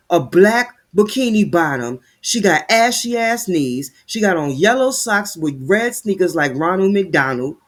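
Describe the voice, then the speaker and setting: A man giving heated, sarcastic commentary while reading news aloud, stumbling over words as he reads. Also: high voice.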